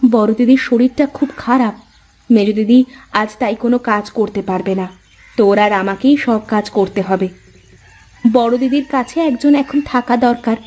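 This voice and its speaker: A woman as a high-voiced young village wife. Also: normal speed, darkish tone, natural voice. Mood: earnest. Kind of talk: Bengali cartoon fairy-tale voice acting.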